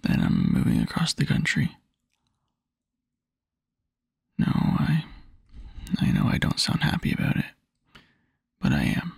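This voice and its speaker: A man playing a tender, wistful young boyfriend in an intimate ASMR roleplay, murmuring softly and haltingly in a husky near-whisper. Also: a little high, huge pitch swings, no echo, clean studio sound, exaggerated voice. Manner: soft, halting, intimate murmur with small chuckles. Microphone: close microphone, dry.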